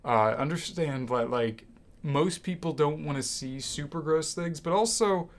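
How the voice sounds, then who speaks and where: A man's voice: a little high, unhurried. A man chatting casually about movies as a podcast co-host. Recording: noisy amateur room recording.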